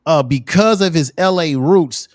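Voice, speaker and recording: A man's voice: fairly high pitch, measured pace, no echo, clean studio sound. A man in his fifties making emphatic points in a casual panel discussion over a video call. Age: 50s. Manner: emphatic, preachy, building points. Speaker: co-host.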